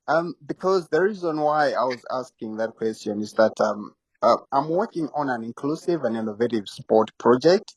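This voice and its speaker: A man from Malawi with a Malawian accent, politely putting questions in halting phrases during a live discussion. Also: mid-range voice, breathy edge, no room sound, rough recording. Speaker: audience member asking questions.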